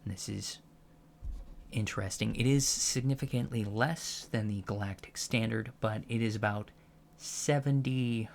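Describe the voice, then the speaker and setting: A man playing a gentle, earnest alien professor in a soft-spoken ASMR lecture roleplay, with a halting, thoughtful delivery. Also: on the low side, gravelly, a little hiss or hum. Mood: earnest, calm. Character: gentle, earnest male alien college professor teaching about humans.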